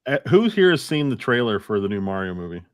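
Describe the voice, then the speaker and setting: A man's voice: fairly low pitch, big pitch swings, fast. A man hosting a casual panel chat, prompting the others with questions. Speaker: panel host.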